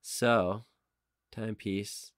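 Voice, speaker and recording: A man in a casual chat as a podcast co-host, thinking out loud and swearing casually. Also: lowish voice, steady tone, very slow, no room sound, studio quality. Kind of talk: casual chat, guessing game among friends.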